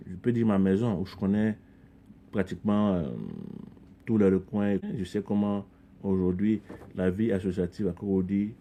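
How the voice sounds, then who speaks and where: A middle-aged man from Ivory Coast with a low, mellow voice talks through his experiences as a podcast guest. He speaks earnestly and thoughtfully, often repeating words and restarting phrases as he searches for the next thought. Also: a little hiss or hum. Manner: earnest, reflective, frequent repetitions and restarts. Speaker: podcast guest, community leader. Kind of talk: conversational interview.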